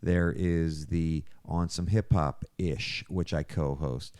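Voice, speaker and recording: A man's voice: rumbling low, steady tone, slow pace, darkish tone, clean tone, no room sound. A man co-hosting a podcast, chatting casually as he welcomes listeners and plugs shows. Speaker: podcast co-host.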